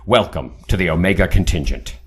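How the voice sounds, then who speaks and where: An actor playing a calm, authoritative older leader of a secret team in an audio drama, speaking in a measured way. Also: male voice, sits low, natural voice. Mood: grave, persuasive.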